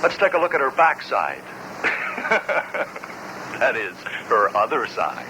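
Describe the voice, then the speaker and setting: A man's voice: medium pitch, wildly swooping pitch, takes it slowly. A man presenting records as a disc jockey on old-time radio. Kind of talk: vintage radio record show. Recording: noisy old radio broadcast.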